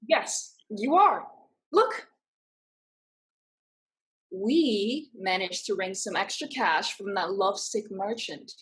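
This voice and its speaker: A woman's voice: medium pitch, animated, slow pace, pauses often. A woman acting in a stage play reading as a proud, streetwise young woman who is protective of her sister. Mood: encouraging, firm.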